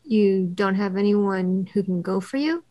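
A chatty, caring woman cab driver of about fifty, read by a woman at an online table read. Her pitch is medium and the sound is dry. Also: a little slow, dry recording, muffled recording, lightly acted. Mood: concerned. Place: indoor room, video call.

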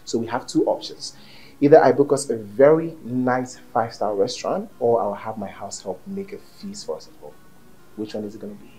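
A man's voice: fairly low pitch, a little fast, warm tone, soft background music, lightly acted, poor audio. A man playing an exuberant, persuasive businessman boss with a Nigerian accent in a screen drama.

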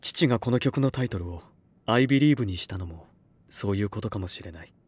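An actor playing an earnest, gentle music label head in an anime voice drama, speaking sincerely and encouragingly. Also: male voice, on the low side, animated, brisk, husky. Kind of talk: Japanese anime voice drama acting.

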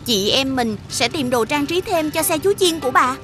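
An encouraging older girl in a children's cartoon, organising the younger kids. Her voice is high. Music runs clearly underneath. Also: expressive, normal speed.

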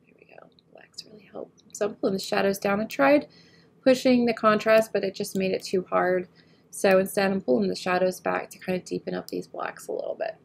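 A woman getting over a head cold, slightly nasal, talking through a photo editing tutorial as she works and often trailing off quietly. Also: medium pitch, steady tone, brisk, gravelly, a little hiss or hum. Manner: thinks aloud while working, often trailing off quietly. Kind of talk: screen-recorded editing tutorial.

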